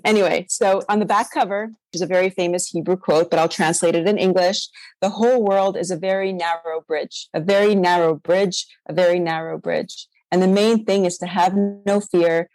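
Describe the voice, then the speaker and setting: A woman hosts a podcast interview, chatting eagerly with her guest. Her voice is fairly low. The sound is dry and close, with no room echo. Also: darkish tone. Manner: enthusiastic, chatty. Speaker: podcast host.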